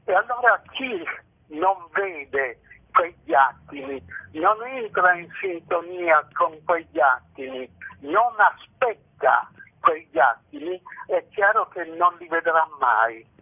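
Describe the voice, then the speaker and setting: Slow, halting older man on a tinny phone line. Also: sits high, big pitch swings, husky. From Italy. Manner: halting, repeats phrases for emphasis. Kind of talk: reflective radio interview answers.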